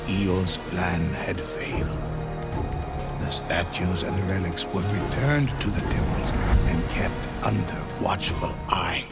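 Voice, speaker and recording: Game narrator: solemn teller of legends, lowish voice, measured dramatic pauses, prominent soundtrack underneath. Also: male voice, creaky, loud music behind the voice, exaggerated voice. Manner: measured, grave, with weighty pauses. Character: solemn male storyteller recounting a grim legend. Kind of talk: dramatic scripted storytelling narration.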